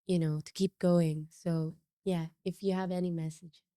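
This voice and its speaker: A woman's voice: fairly low pitch, level tone, moderate pace, mellow, dry recording, studio quality. A Filipino woman with a Filipino accent hosting a podcast interview, effusive and chatty, slipping Tagalog words into her English while she is losing her voice. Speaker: podcast host. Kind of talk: casual interview chat.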